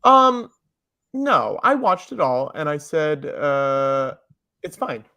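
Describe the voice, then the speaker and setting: A man's voice: a little high, very animated, takes it slowly, sharp tone. A man chatting casually about TV and film, hedging and often repeating his phrases. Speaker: podcast speaker.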